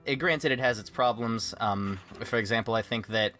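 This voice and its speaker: A man's voice: medium pitch, normal speed, bright, forceful tone, slight background noise. A man hosting a casual group chat, sarcastic and swearing freely, with music playing behind him. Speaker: host of a podcast about a fan voice project. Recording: background music. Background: guitar music.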